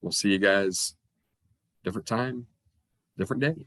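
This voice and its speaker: A man's voice: lowish voice, normal speed, fairly bright tone, no echo. A man a little stuffed up with a cold, chatting casually as a guest reviewer. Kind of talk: casual chat. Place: room at home, on a video call.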